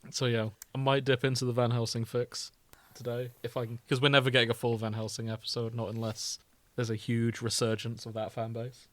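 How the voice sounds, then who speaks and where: A man's voice: medium pitch, completely flat delivery, fairly quick, hardly any pauses, no room sound. A man co-hosting a podcast in casual banter, with a jokey, teasing manner. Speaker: podcast co-host.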